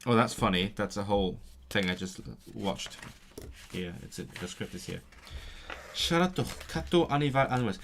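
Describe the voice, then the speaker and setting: A young man talks casually on a live stream as he studies. He interrupts himself often and says practice words aloud over and over, in a fairly low voice. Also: unhurried, vocal fry, a bit bright, slight background noise. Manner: chatty and self-interrupting, reads out and repeats practice words aloud. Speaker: language learning streamer. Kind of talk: casual live-stream chat while studying.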